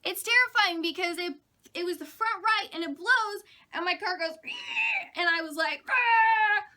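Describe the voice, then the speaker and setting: A young woman, a bit hoarse from a cold, telling funny stories about her week to camera in a chatty, self-mocking way. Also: extremely high pitch, unhurried, sharp tone. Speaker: vlogger. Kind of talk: casual chat to camera.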